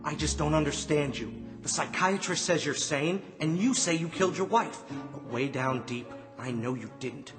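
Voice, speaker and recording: An earnest defense lawyer played by a man in a radio drama. His voice sits somewhat high and carries exasperation, with music playing behind it. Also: animated, moderate pace, natural voice. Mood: exasperated. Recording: music under the voice.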